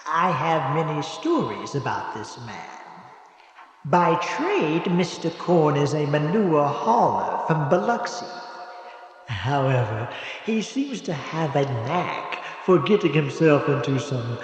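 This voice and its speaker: In a reverberant, faintly noisy space, an old morgue attendant played by a man tells tales for a radio play. He is courteous and hesitant, speaking very slowly with animated swings of pitch. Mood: genial, storytelling. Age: older. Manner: halting, courteous, full of hesitant ehs and uhs. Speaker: storyteller host of a radio horror show. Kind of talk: old-time radio storytelling. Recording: echoey hall reverb, faint noise.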